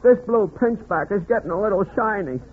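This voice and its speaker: High-voiced henpecked radio husband. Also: male voice, animated, a little fast, phone-quality sound. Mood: plaintive. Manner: folksy, hesitant, complaining mildly. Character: meek, henpecked husband, mild and plaintive. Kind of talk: 1940s radio comedy acting. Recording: very noisy, thin 1940s radio.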